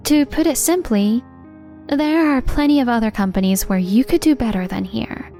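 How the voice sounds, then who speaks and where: A woman's voice: on the high side, normal speed, warm tone, lightly acted. A woman playing a reserved young company president in a manga dub, businesslike and guarded about her feelings. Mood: guarded, brisk. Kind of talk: anime-style manga dub acting. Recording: background music under the voice. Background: music.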